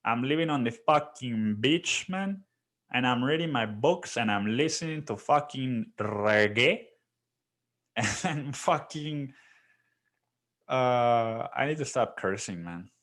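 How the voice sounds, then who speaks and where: A man's voice: medium pitch, expressive, slow pace, a bit bright. A young man hosting his own podcast, talking alone in a loose, rambling way, full of swearing and "dude". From Mexico. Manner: rambling, profane, filler-heavy with repeated "dude". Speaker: podcast host. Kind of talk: casual solo monologue.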